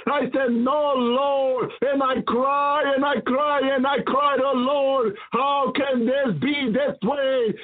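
High-pitched man preaching fervently, phone-like sound. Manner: emphatic, repetitive, exclaiming preacher's delivery. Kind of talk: fervent apocalyptic sermon. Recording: thin phone-like radio sound.

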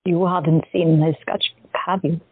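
A woman playing a gentle, hesitant young artist in an audio drama. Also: lowish voice, steady tone, normal speed, breathy edge, no echo. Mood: shy, earnest. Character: soft-spoken young woman artist, wistful. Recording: dry, tinny phone-like sound.

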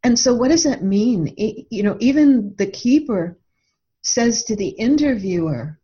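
A woman talks thoughtfully in a reflective discussion, putting questions to the listener. Her tone is mellow and rather husky, at a middling pitch. The recording is a little dull. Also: lively intonation, a little slow. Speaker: radio show co-host and author.

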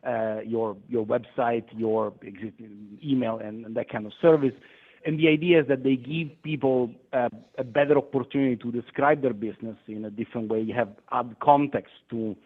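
A man from Italy with an Italian accent, a guest on a radio interview, speaking hesitantly with many fillers and restarts. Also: medium pitch. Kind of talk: phone interview. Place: London. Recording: tinny phone line.

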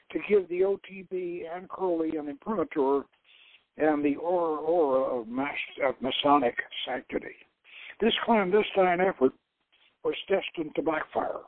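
A man voices a former priestess of an occult lodge telling her confessional story in a dramatic reading. The pitch sits high and the delivery is measured and deliberate. It is a dry recording with a narrow, phone-like radio sound. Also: no echo. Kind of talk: dramatic novel reading, first-person narration.

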